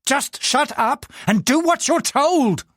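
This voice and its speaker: An audiobook narrator voicing a furious middle-aged male tycoon who shouts and snaps orders at his household. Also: high-pitched, lively intonation, slow, no echo, studio quality, voice pushed up, full character voice. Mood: enraged. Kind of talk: audiobook character voice.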